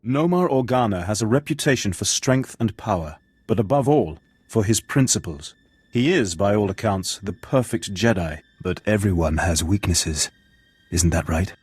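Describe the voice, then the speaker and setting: A man playing a courteous, flattering Sith bodyguard in a video game, with a formal, smooth-talking manner. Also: fairly low pitch, normal speed, dry recording. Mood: formal, confident. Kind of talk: scripted video game dialogue.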